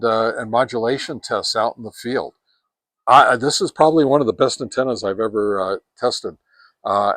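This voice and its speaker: A man's voice: mid-range voice, fairly bright tone, dry recording. A man hosting a casual hands-on gear review outdoors, talking in a folksy way and repeating words for emphasis. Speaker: product reviewer and host. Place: outdoors on a deck.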